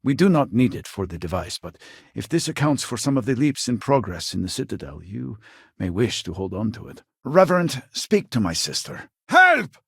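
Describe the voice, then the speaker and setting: A man's voice: mid-range voice, sing-song, normal speed, vocal fry, fairly bright tone, breathy edge, no room sound, clean studio sound, full character voice. An actor playing an elderly, proud and impatient male scientist in a video game, speaking in a formal, theatrical way. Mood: panicked. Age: older.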